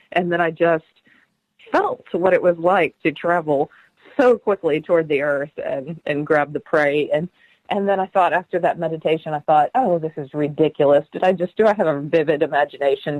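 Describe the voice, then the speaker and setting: A woman's voice: sits low, no room sound, poor audio. A middle-aged woman guest on a radio interview, speaking earnestly and laughing often as she tells her stories.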